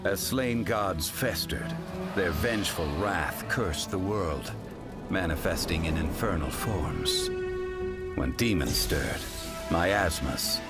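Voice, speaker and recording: A grave teller of ancient legends in a video game. His voice is deep and unhurried, with a measured, theatrical solemnity. Loud music runs beneath the narration. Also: expressive, heavily acted. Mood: solemn, ominous. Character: solemn male storyteller of ancient dark legends. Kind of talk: scripted dramatic game narration.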